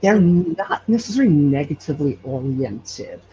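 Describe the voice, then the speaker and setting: A man from Hawaii telling stories casually as an interview guest, halting and searching for words as he goes. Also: a little high, expressive, mellow. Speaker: podcast guest telling local legends. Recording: dull lo-fi audio, faint noise.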